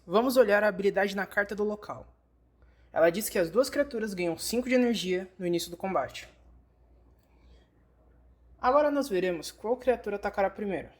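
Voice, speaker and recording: A woman with a Brazilian Portuguese accent reading scripted instructional narration, explaining directly to the listener as in a fan-dubbed tutorial. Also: lowish voice.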